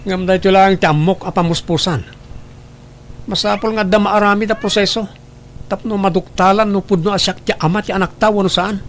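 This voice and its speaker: An actor playing a suspicious, stern husband in an audio drama, speaking in a firm, accusing manner. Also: male voice, sits high, fairly even intonation, a little fast, slight background noise. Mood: cold, accusing. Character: suspicious, cold, stern husband rejecting his family. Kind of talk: Ilocano audio drama acting.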